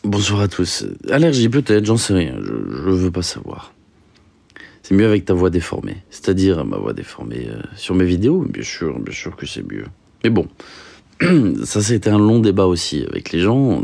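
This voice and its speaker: A young man with a very low, gravelly voice, chatting casually as he answers his viewers. His delivery is halting and full of fillers and repeated phrases, and his pitch swings widely. The sound is close and dry. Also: a little mellow, no room sound. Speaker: streamer reading and answering chat. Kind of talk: casual livestream chat.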